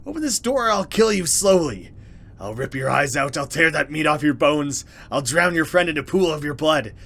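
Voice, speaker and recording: A man's voice: fairly high pitch, lively intonation, normal speed, creaky, fairly bright tone, heavily acted. A man voicing a cruel, gloating demonic tentacled monster from a horror visual novel, threatening its prey. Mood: murderous threat.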